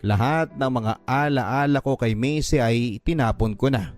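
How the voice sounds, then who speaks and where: A man's voice: mid-range voice, unhurried. A man narrating a scripted first-person radio drama story in a sorrowful, confessional manner. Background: music.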